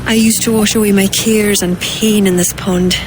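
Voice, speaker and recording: A woman playing an earnest, sorrowful druid in a video game cutscene, speaking in measured, formal phrases. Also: medium pitch, fairly even intonation, music in the background, lightly acted, poor audio. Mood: regretful, confessional. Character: earnest, sorrowful female druid, former cult member. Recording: game ambience noise behind voice.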